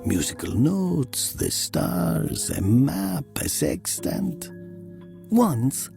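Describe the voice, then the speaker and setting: A man playing a brooding narrator recalling his youth in a dramatic story, slow and deliberate, dropping into hushed breathy tones. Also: on the high side, very animated, soft background music, exaggerated voice. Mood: measured, somber.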